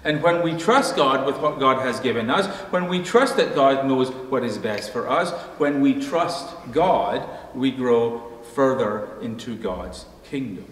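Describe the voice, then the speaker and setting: A middle-aged man, a pastor, preaching a measured sermon from a pulpit in a church sanctuary, slowing down at the ends of his phrases. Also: medium pitch, fairly bright tone. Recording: echoey hall, faint room noise.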